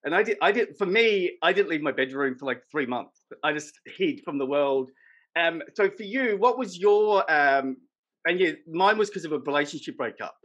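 A man's voice: on the high side, lively intonation, moderate pace, fairly bright tone, lo-fi sound. A middle-aged man hosting a podcast interview over a video call on a headset mic, asking friendly, chatty questions. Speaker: podcast host.

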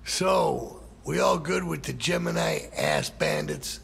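A man's voice: on the high side, huge pitch swings, takes it slowly, creaky, fairly bright tone, husky, full character voice. A man playing a middle-aged, hot-tempered, foul-mouthed crime boss in a video game, exasperated and profane. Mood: suspicious, annoyed. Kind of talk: cinematic game dialogue.

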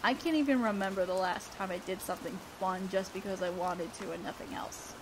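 A young woman playing an awkward, earnest teenage student council president in a romantic audio roleplay, speaking in a shy, halting way. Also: medium pitch, background noise, natural voice. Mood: wistful, downcast.

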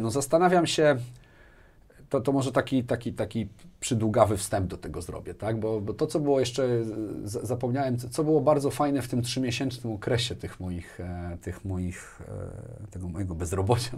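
A man's voice: mid-range voice, moderate pace, a little mellow. A man chats casually as an interview guest, often repeating words and tagging phrases with a checking question. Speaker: podcast guest, technology executive. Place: small furnished studio with padded wall panels, indoors. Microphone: lapel microphone.

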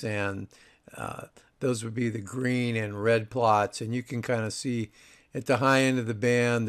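A man's voice: mid-range voice, gravelly. A man presenting an audio review, explaining technical details in a casual, informal way.

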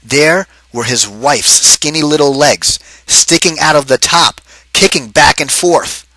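A man reading a picture book aloud to children, pausing to ask them questions. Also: fairly high pitch, sharp tone, no echo, low-quality recording. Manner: asks the kids questions between pages. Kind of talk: interactive read-aloud for children.

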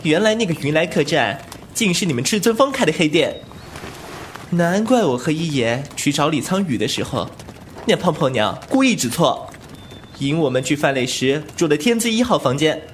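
Man acting as a cheeky, animated young swordsman. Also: fairly high pitch, normal speed, slight background noise. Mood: playful, sly. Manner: playful, colloquial, mock-grumbling. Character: cheeky, mouthy young martial-arts hero with roguish humour. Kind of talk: wuxia audio drama acting.

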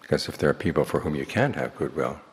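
A man speaking as a Buddhist monk, leading a guided meditation in slow, measured phrases with long pauses. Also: very deep voice, expressive. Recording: long hall reverb.

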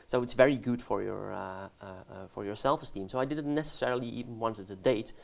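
A man's voice: lowish voice, creaky. Dutch-accented male academic in his forties, stammering restarts, lapel mic in a studio. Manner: halting, many restarts and fillers, laughs easily. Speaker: guest researcher. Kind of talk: casual interview answers. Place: studio set.

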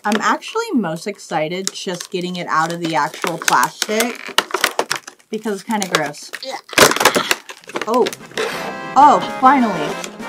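A woman in her thirties chatting casually and enthusiastically to camera in slangy, informal language as a hobby video host. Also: medium pitch. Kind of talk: casual vlog chat to camera. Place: small indoor hobby studio room.

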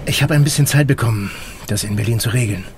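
An actor playing a gruff, guarded detective and father in a German audio drama, with a gravelly voice and an urgent manner. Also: medium pitch, fast talker, background noise, natural voice. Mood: stern, urgent.

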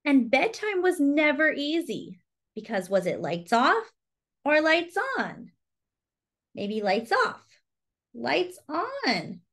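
A woman reading a children's story aloud expressively, with deliberate phrasing and simple explanatory asides to young listeners. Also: high voice, sing-song, fairly bright tone. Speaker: storybook reader and host.

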